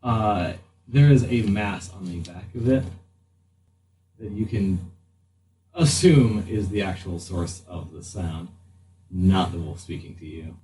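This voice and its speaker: A man acting as game master, narrating a tabletop game in an improvised, halting way as he thinks aloud. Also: low voice. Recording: slightly distant mic, faint room noise.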